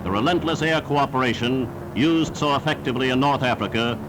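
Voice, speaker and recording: A man's voice: medium pitch, a little fast, background noise. A man narrating a 1940s wartime newsreel in a formal, declamatory scripted style. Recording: 1940s newsreel soundtrack with music.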